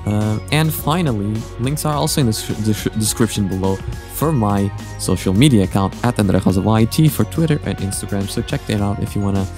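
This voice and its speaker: A man's voice: fairly low pitch, expressive, a little fast, few pauses. A man hosting a casual reaction vlog, speaking in a hesitant, rambling way and often correcting himself. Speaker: host of a reaction channel. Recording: music behind the voice. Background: background music.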